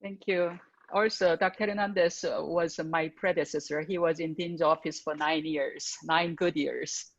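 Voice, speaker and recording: A woman's voice: deep voice, fairly even intonation, unhurried, no echo. An older woman, a dean moderating a faculty panel on a video call, introducing speakers in brief, hesitant remarks. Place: home living room with pictures. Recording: dull, dry webcam audio.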